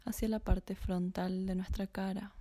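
Soft-spoken woman guiding a meditation. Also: medium pitch, flat delivery, dry recording. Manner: slow, soft, half-whispered guidance. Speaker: meditation guide. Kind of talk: guided body scan meditation.

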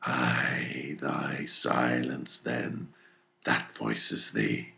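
An obsessed old sea captain, extremely deep and creaking. He speaks slowly in grand, archaic phrases, commanding and defiant, as in a dramatic audiobook. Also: flat delivery, bright, forceful tone, heavily acted. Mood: coaxing. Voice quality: gravelly. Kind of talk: dramatic audiobook character reading.

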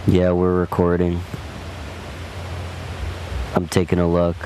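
A man's voice: low-pitched, monotone, slow, noisy background. A man interviewing a musician, chatty and curious, often digressing into stories. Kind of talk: casual interview chat.

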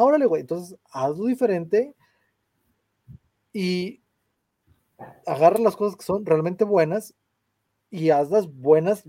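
A man's voice: high voice, warm tone, dry recording. A young Mexican man with a Mexican Spanish accent, ranting in casual, slang-heavy podcast chat and swearing freely. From Mexico, San Luis Potosí. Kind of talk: casual chat, film rant.

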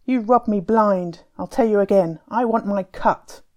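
Sulky, shouting village rival, voiced by a woman reader, mellow and raised. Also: mid-range voice, fairly even intonation, measured pace, no echo, muffled recording, voice pushed up, natural voice. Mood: resentful shouting.

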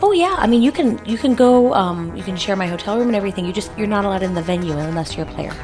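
A woman's voice: mid-range voice, expressive, fast, natural voice. A woman playing an eager, modest young champion in improvised radio theater, speaking in a hesitant, earnest, gushing way. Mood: excited, nervous. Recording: music playing over the voice.

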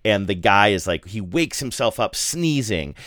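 Male co-host, casual storyteller, expressive, dry recording. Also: on the low side, normal speed, dry recording. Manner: storytelling, laughing, mimics voices. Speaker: podcast co-host. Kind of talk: casual chat.

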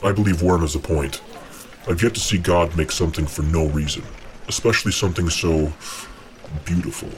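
An actor playing a commanding demon lord in an audio drama, with a grave, measured delivery. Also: male voice, low voice, slight background noise, close to an everyday voice. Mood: calm command. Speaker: narrator and villain in an audio drama.

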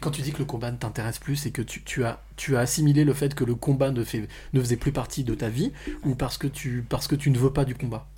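Soft, mellow-voiced man hosting. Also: mid-range voice, fairly even intonation, fast talker, slightly rough. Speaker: podcast host. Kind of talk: conversational interview.

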